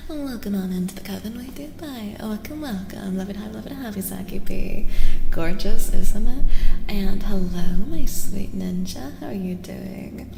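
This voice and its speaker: A woman live streamer reading tarot in soft-spoken ASMR style, chatting casually and gently, sometimes dropping to a whisper and repeating phrases. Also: mid-range voice, vocal fry. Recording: reverberant echo with music behind. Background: music.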